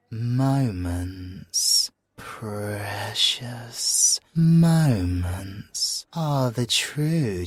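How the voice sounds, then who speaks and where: Slow, husky, suave British male siren, acted by a man. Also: lowish voice, no room sound, full character voice. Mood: flirtatious, smug. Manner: slow, drawn-out teasing delivery with whispered phrases. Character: suave, flirtatious, possessive male siren, smugly self-assured. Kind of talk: ASMR audio roleplay.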